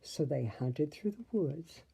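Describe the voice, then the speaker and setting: A woman reads a children's storybook at an unhurried pace. Her voice is very mellow and a little low, expressive in narration, with asides to the listener. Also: gravelly, a little hiss or hum, low-quality recording. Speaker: storybook reader.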